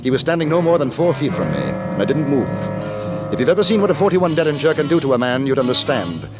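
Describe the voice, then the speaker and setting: A man's voice: medium pitch, big pitch swings, quick pace, close to an everyday voice. A man playing a composed former cavalry officer who narrates his adventure in an old-time radio western, with an understated, dryly laconic manner. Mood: grave narration. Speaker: lead actor and first-person narrator. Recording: old radio recording with music.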